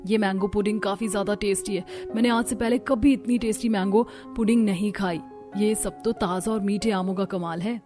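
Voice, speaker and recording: A woman voicing a chatty, somewhat pert young daughter-in-law from the city in an animated story. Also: medium pitch, brisk, natural voice. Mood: cheerful. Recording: music under the voice.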